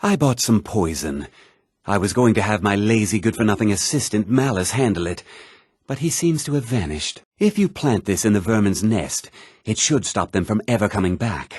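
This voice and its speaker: Grumbling meadery owner, haughty, husky, dry close recording, theatrical game dialogue. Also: male voice, on the low side, lively intonation, normal speed, darkish tone, no room sound. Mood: exasperated, haughty. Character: fussy, irritable meadery owner, grumbling and self-important. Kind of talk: video game character dialogue.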